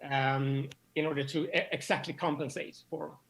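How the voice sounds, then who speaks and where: A man explaining science as an interview guest, halting and often repeating words as he goes. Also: fairly high pitch, fairly even intonation, a bit bright. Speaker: astronomy professor, interview guest.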